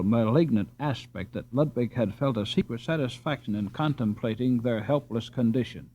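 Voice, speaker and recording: A man's voice: medium pitch, a little mellow, dry recording. Male audiobook narrator, mid-range voice, scripted storybook reading, dry and slightly dull sound. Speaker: audiobook reader.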